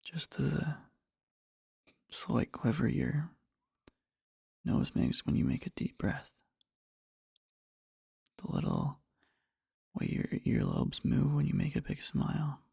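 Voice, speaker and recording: A man playing an adoring boyfriend in a soft ASMR roleplay, murmuring slowly and tenderly to a sleeping partner in a breathy, husky whisper. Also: fairly high pitch, wildly swooping pitch, no room sound, clean studio sound, exaggerated voice. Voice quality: breathy, husky, with creaky fry. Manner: slow, halting, tender murmuring.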